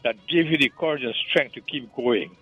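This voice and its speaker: A man's voice: medium pitch, brisk, slightly rough, no room sound, dull audio, soft background music. A man with a Guyanese accent, calling into a radio show and rambling on, often stammering and repeating his words. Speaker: caller. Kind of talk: call-in radio chat.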